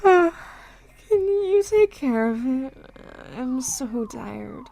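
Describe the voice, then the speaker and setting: A woman acting a caring, earnest friend in an audio drama, speaking gently. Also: sits high, big pitch swings, slow, vocal fry, noisy background, full character voice. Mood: sleepy.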